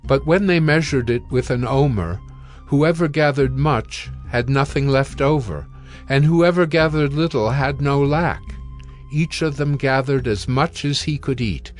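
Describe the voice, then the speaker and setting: A man with a medium-pitched voice narrates scripture dramatically. He reads in a solemn, measured way, with faint music beneath him.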